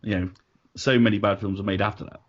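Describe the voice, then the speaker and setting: A man's voice: on the low side, steady tone, fast. A British man hosting a casual panel chat, chatty with laughing asides. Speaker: podcast host. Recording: dull lo-fi audio.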